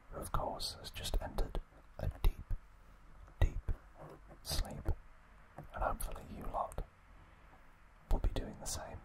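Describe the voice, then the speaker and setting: A man hosting an ASMR video, whispering trivia in a hushed, deliberate manner with pauses. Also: rough recording.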